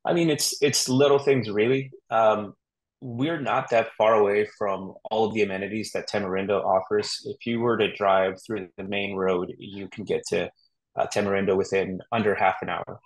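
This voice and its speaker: A man's voice: lowish voice, moderate pace, poor audio. Easygoing middle-aged man from Honduras. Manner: relaxed, rambling with fillers and restarts. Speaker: real estate agent guest. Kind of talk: casual interview chat.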